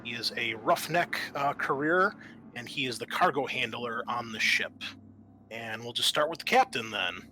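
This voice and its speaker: A man with a bright, forceful voice runs a tabletop sci-fi game as game master. He narrates and explains to his players, with faint noise behind him. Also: mid-range voice, lively intonation, normal speed.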